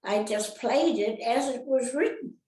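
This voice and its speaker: An older woman, an actress reminiscing casually in an interview, rambling and halting, often turning questions on her listeners. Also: a little high, a little mellow. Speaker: retired actress being interviewed. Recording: roomy, echoey sound.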